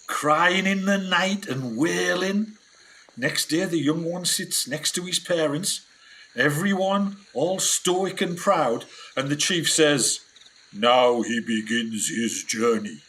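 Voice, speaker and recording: A man acting in a radio play as a plain-spoken, wary field assistant, halting and brooding in manner. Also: on the high side, a bit bright, slight background noise, rough recording. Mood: uneasy.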